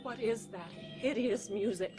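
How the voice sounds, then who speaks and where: A woman's voice: on the high side, measured pace, lightly acted. An actress playing a languid, haughty young female vampire in a radio horror drama, speaking in a disdainful, morbid way. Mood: disdainful, agitated. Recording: radio drama with music underneath.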